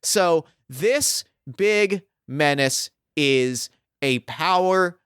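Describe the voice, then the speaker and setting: Male game master and host, enthusiastic, crisp delivery, close dry sound. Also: fairly high pitch, animated, drawn-out words, fairly bright tone, no echo. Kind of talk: guiding a tabletop roleplaying game.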